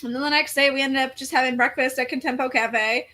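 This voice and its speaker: A woman's voice: high voice, fairly even intonation, fast, pushed and bright. A woman in her forties, a podcast co-host, telling a story in casual chat with lots of fillers and restarts. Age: 40s. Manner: rambling storytelling with many fillers.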